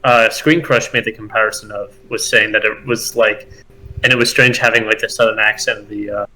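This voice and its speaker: Bright-voiced man, rambling casual chat. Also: fairly low pitch, fairly quick, poor audio. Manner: rambling, animated, many fillers and restarts. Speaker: podcast co-host.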